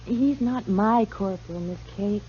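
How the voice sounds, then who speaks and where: A muffled old radio western recording of a woman playing a young American saloon girl. Her voice is mellow and dark, and she speaks in a poised, hesitant, coyly forward way. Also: medium pitch, measured pace, close to an everyday voice. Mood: demure, poised. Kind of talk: 1950s radio western acting. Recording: muffled, faintly noisy 1950s radio recording.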